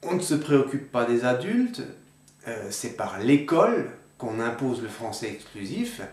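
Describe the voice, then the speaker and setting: A middle-aged man giving a measured, didactic explanation to camera in a small indoor room. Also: mid-range voice, very animated, darkish tone, distant mic. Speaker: video presenter on regional language. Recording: echoey untreated room.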